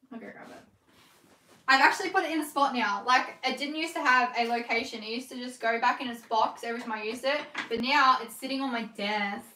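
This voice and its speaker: A young Australian woman chatting casually on a livestream from her sewing room, reading comments, talking fast and laughing often. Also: sits high, sharp tone, echoey room. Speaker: livestream host, scrunchie maker. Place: home sewing room, indoors.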